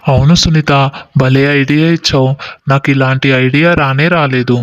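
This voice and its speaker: A man's voice: medium pitch, fairly even intonation, moderate pace, no room sound, highs cut off, lightly acted. A man voicing an earnest, restless young husband with business dreams in an acted moral story. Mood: delighted.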